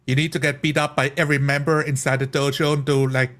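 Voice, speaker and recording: A man's voice: mid-range voice, little pitch movement, fast, fairly bright tone. A man in a casual group discussion, explaining things informally in short, repeated phrases.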